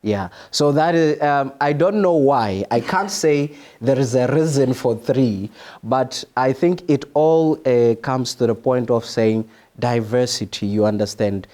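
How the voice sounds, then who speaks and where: Mellow young South African man, explaining haltingly. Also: mid-range voice. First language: Sotho. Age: young adult. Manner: halting, explanatory, repeats for emphasis. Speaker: podcast guest, international student. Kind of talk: conversational interview answers.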